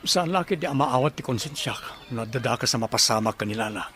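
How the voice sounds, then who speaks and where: A man playing an earnest, conflicted young father with a measured delivery in an Ilocano radio drama. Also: medium pitch, animated, fairly bright tone, natural voice. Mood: troubled.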